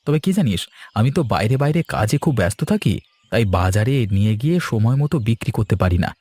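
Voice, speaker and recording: Man voicing a mellow, husky village farmer. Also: fairly low pitch, very animated, fast talker, no echo. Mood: genial. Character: busy, kindly village elder brother, a gourd farmer. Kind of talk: cartoon dialogue acting.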